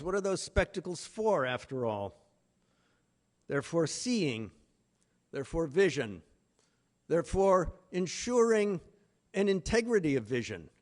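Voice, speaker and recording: Slow, higher-voiced man giving a lecture. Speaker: conference speaker, literary lecturer. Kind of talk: literary lecture with read-out quotations.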